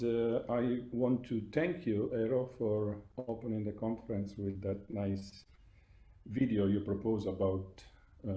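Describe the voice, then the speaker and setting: A man from Italy introduces himself on a video call, pausing often and restarting his sentences. His voice is dark and mellow and sits a little low. The audio is dull and lo-fi, with an echoey room around him. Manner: halting, many fillers and restarts. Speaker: music academy staff, conference participant.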